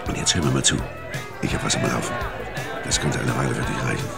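A man's voice: rumbling low, quick pace, gravelly, over a noisy crowd. An actor playing a weary, hard-bitten hitman in a radio crime drama, terse and matter-of-fact as narrator and in dialogue. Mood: quiet, insistent. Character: weary, hard-bitten male hitman recounting his brutal past. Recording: music behind the voice.

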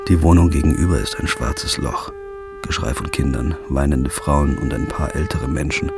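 A man's voice: extremely low pitch, wildly swooping pitch, moderate pace, creaky, music in the background. A man narrating a first-person crime drama in a weary, clipped, hard-boiled manner. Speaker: narrator and protagonist.

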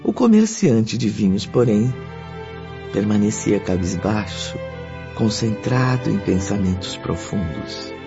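An actor as the solemn, compassionate narrator of a radio drama, grave, formal and measured. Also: male voice, on the low side, expressive, creaky, heavily acted. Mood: grave, suspenseful. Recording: dull radio drama mix over music.

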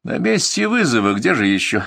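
A field doctor woman snaps back over the radio, irritable and sharp, as a male reader puts her on in a high voice. The gravel of his voice stays under hers. It is a dry, close recording. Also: very animated, fairly quick, no echo, heavily acted.

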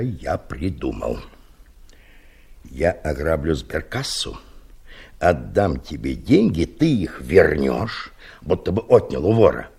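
An older man acting in an audio drama, playing a stubborn, idealistic old engineer who plots emphatically in a husky, gravelly voice. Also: deep voice, full character voice. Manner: emphatic, theatrical, plotting. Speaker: actor in a radio play.

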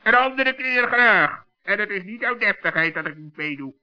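A man's voice: high voice, lively intonation, normal speed. A man playing a dignified, sensible talking bird in a 1960s children's radio play, formal and reproving. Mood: dry, reproving. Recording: dull old radio recording with music.

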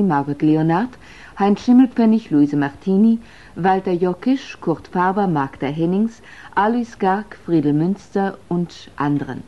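A woman reading a scripted announcement for 1960s German radio in a formal, clearly articulated way. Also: lowish voice, mellow. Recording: dull 1960s radio recording.

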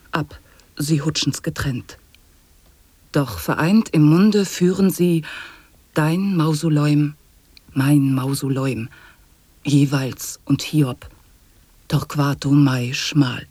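An actor playing an eccentric chanter of nonsense sound poetry in an avant-garde radio play, husky and prone to whispering. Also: male voice, a little high, takes it slowly, long pauses, darkish tone, no room sound. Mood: incantatory, playful.

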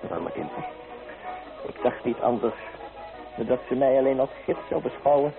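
An actor playing a frivolous, theatrical playboy in a radio play, mocking and flamboyant in manner. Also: male voice, medium pitch, moderate pace, gravelly, close to an everyday voice. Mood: dismissive, mocking. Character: flippant, theatrical male playboy, frivolous lover. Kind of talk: Dutch radio play acting. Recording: thin phone-like radio recording, music behind. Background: music.

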